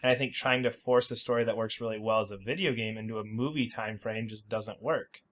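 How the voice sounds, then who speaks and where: A man's voice: on the low side, fairly even intonation, brisk, a bit bright. A man co-hosting a podcast, arguing his opinions in casual, conversational speech. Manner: opinionated, argumentative. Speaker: podcast co-host.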